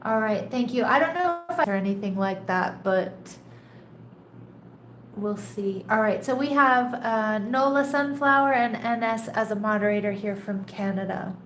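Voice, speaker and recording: A congested, slightly nasal woman hosts a live tarot stream in an unhurried, chatty way, laughing lightly as she talks to her viewers. Her voice sits a bit high. The sound carries a roomy echo with a faint hiss underneath. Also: a bit bright. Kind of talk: casual live-stream chat. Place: home in a rainy tropical place. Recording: echoey room, faint background noise.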